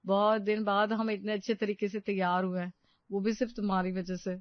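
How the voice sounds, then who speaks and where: A woman's voice: mid-range voice, level tone, fairly quick, no room sound, dull audio, close to an everyday voice. A woman voicing an agreeable village neighbour in a cartoon story, eager and approving. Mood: pleased. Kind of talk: cartoon moral-story dialogue.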